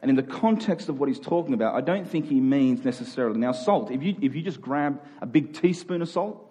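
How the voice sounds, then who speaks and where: A man's voice: medium pitch, expressive, fairly quick, mellow, slightly rough. An Australian man giving a conversational sermon to a congregation in an informal, joking manner with rhetorical questions. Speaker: preacher. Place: live before a congregation.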